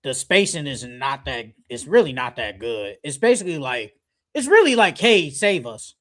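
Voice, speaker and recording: A sports podcast co-host talks basketball at a medium pitch. The delivery is casual and slangy, with firm, emphatic opinions. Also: big pitch swings, moderate pace.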